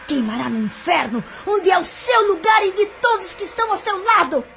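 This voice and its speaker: A woman's voice: very high voice, lively intonation, normal speed, vocal fry, bright, forceful tone, heavily acted. An actress with a Brazilian Portuguese accent voicing a spiteful, vengeful woman villain who taunts with sarcastic laughter in an audio drama.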